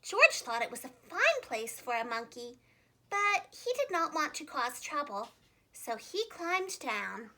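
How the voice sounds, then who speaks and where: Female children's storyteller, preschool-teacher manner, extremely high register, lively intonation, clearly enunciated. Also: a little slow. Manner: animated, expressive, carefully enunciated.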